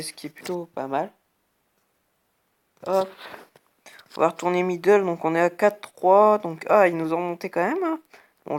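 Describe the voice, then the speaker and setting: Brief: young male gamer, a bit congested, casual rambling play-by-play with "hop, bam" sound words. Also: fairly high pitch. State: has a cold, sniffly. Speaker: gaming YouTuber. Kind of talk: casual gameplay commentary.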